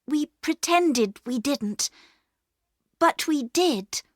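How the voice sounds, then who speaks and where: Earnest young girl, older sister, higher pitch, a woman reading her. Slow, dry. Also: expressive, no room sound, heavily acted. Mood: insistent. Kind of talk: storybook reading.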